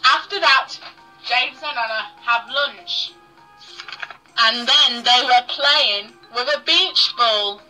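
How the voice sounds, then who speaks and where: High, piercing young female story reader. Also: sharp tone, faint music behind, poor audio. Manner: careful, deliberate reading. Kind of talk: children's story read aloud. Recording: played back from toy laptop speaker.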